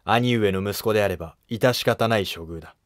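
A man's voice: on the low side, fairly quick, no echo, clean studio sound, natural voice. A man voicing a stern, authoritative emperor who speaks in an archaic, commanding way in a historical drama animation. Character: stern, authoritative Japanese emperor deciding succession.

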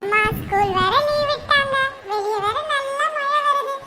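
A woman voicing excitable young children who whine and beg for snacks in a family comedy skit. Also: extremely high pitch, moderate pace, soft background music. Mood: pleading. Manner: pleading, whining, cheering. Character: excitable young boy and girl, whiny and greedy. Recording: loud background noise.

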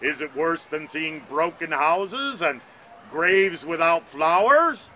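Man voicing a calm, slow giant talking bird. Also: fairly high pitch, a little hiss or hum, full character voice. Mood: probing. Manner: slow, questioning, measured.

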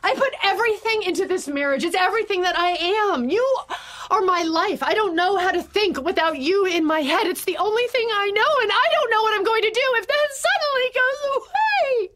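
A woman's voice: very high-pitched, expressive, brisk, few pauses, a bit bright. An actress playing an anxious wife who pleads with her husband in an audio drama. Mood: pleading. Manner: emotional, pleading, nervous laughs. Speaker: actor.

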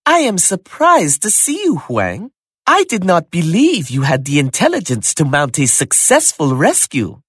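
An actor playing a venomous, contemptuous royal Chinese admiral, imperious and sneering, in a theatrical game cutscene. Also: male voice, a little high, huge pitch swings, unhurried, husky, dry recording, clean studio sound, a faint crowd, full character voice. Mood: haughty, sneering. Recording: game audio with loud music behind.

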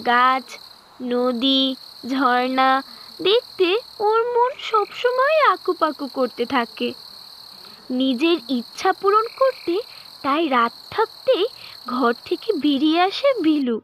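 A woman narrating a children's cartoon story in an expressive storytelling manner. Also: sits high, takes it slowly, slight background noise.

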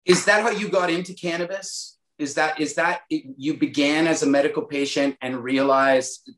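A man's voice: fairly high pitch, fairly even intonation, moderate pace, a bit bright. A man in his forties hosting an interview over a video call, agreeing often and asking loose, rambling questions. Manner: affirming, asks rambling questions. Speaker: host. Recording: echoey room on a video call.

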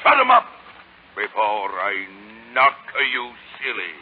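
Greedy Italian puppet master, swooping pitch, bombastic broken English, old radio drama, muffled noisy broadcast. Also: male voice, huge pitch swings, slow. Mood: menacing. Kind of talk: 1930s radio acting.

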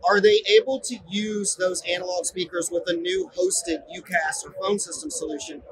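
A man's voice: sits high, fairly even intonation, normal speed. A man hosting an on-location interview at a busy trade show. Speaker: interviewer, channel host. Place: busy indoor trade show exhibit hall. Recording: clear trade show hall noise. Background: convention hall ambience.

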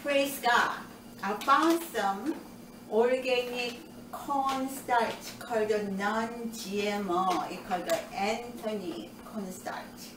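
Slow older woman hosting a kitchen show. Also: on the high side, noisy background. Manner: cheerful, explains step by step with nutrition asides. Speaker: cooking show host. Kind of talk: home cooking demonstration. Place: home kitchen indoors. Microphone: far camera. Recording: roomy kitchen sound from a distance.

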